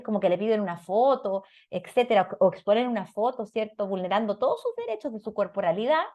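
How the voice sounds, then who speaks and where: Woman, Chilean Spanish accent, slightly high pitch, didactic lecture delivery, frequent check-in questions. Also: a little mellow. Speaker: lecturer on parenting and psychology. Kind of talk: explanatory talk to an audience.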